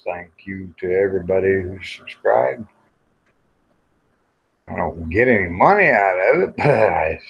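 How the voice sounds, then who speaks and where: Man in his fifties, lowish voice, very unhurried, folksy, rambling, muttering, casual livestream chat. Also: husky. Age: 50s. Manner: folksy, muttering to himself while browsing. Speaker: livestream host. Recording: dull, lo-fi livestream audio.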